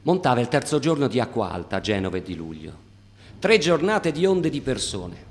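A man's voice: mid-range voice, sing-song, fairly quick. A man performer giving a dramatic literary reading in the style of radio theatre. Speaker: stage performer. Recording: long reverb on the voice.

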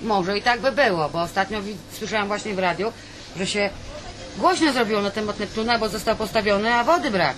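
A woman's voice: mid-range voice, fairly quick, a bit bright, poor audio. A woman with a Polish accent giving casual, hesitant answers as a passer-by in a street interview. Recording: outdoor recording, faint crowd behind.

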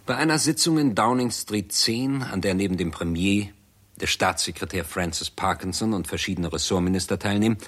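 An actor narrating a 1960s German radio play in a sober, formal, report-like manner. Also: male voice, lowish voice.

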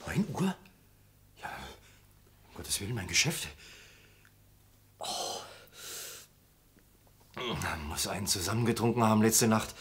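An actor playing a travelling salesman in a radio play, muttering haltingly and repeating himself. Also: fairly low pitch, lively intonation, husky, heavily acted. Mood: hurried, flustered. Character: travelling textile salesman, drunk and guilt-ridden, then brisk. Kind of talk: German-language radio play acting.

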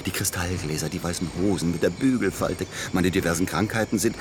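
An actor playing a self-assured European gentleman in a radio drama, speaking in a grandiose, declamatory manner. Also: low voice, a little fast, lightly acted. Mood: elated, grandiose. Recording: noisy atmospheric background.

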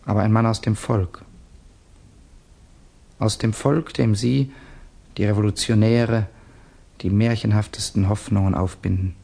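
An actor in a German radio drama playing a tormented, evasive man who questions haltingly and insistently, with a husky, creaky voice. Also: fairly low pitch, darkish tone. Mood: bitter. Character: tormented, guilt-ridden Russian man, evasive and probing.

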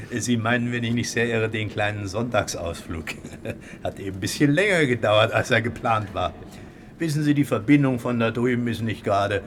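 An actor playing an ex-boxer sidekick in a radio play, stiffly and over-formally polite. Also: male voice, medium pitch, moderate pace, fairly bright tone, lightly acted. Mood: mock polite. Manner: stilted, over-formal, chuckling. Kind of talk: German radio play acting. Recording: noisy old radio recording.

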